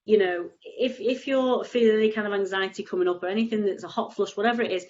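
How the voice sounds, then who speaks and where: A woman's voice: mid-range voice, fast talker, darkish tone, muffled recording. A woman with a cold and a stuffy nose, explaining tapping casually on a video call, rambling with frequent restarts. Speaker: EFT tapping practitioner, guest.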